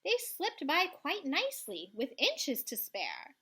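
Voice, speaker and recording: Slow, animated woman reading a storybook. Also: high-pitched. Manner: theatrical, drops to hushed tones and swells. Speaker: elementary school librarian reading aloud. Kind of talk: expressive children's storybook read-aloud.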